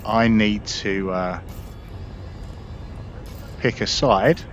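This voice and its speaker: Low-voiced man, casual gameplay commentary. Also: extremely slow, soft background music. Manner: explanatory, informal. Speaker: gaming content creator. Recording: dull mic with background noise. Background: spaceship game sound.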